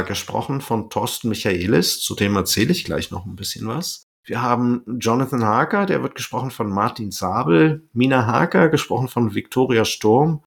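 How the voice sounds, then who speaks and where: A man's voice: mid-range voice, creaky. A man co-hosting a casual podcast, chatting about an audio drama and reading out the cast with asides. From Germany. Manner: chatty, reads out cast and actor facts, drifts off topic. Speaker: podcast co-host.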